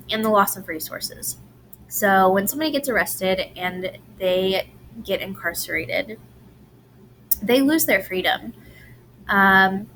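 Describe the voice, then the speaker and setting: A woman giving a recorded lecture presentation, unhurried with frequent "um"s and restarts, her voice a little strained from allergies. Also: mid-range voice, fairly bright tone, slight background noise, poor audio. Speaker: presenter on grief and incarceration.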